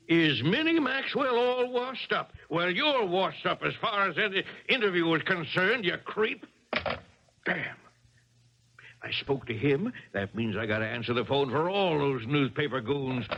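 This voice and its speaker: Blustering middle-aged manager dad, gruff and brusque, animated delivery, dull old broadcast sound. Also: male voice, on the high side, exaggerated voice. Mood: exasperated, scornful. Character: gruff, bossy, blustering father and tennis manager. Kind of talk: 1970s radio drama acting. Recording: muffled old radio broadcast.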